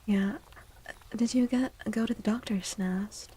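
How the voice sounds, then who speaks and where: A woman speaking softly and gently in a breathy, soothing ASMR style, often slipping into a whisper as she chats with stream viewers. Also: medium pitch, a little slow. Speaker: livestreamer hosting an ASMR stream. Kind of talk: soft-spoken ASMR, chatting with viewers. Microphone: close microphone.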